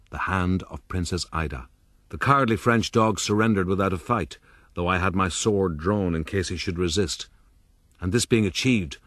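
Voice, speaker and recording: Deep-voiced gentleman rogue narrator. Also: no room sound. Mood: smug. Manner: measured, dryly pompous. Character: proud gentleman rogue recounting his adventures, dry and boastful. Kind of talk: dramatized scripted narration.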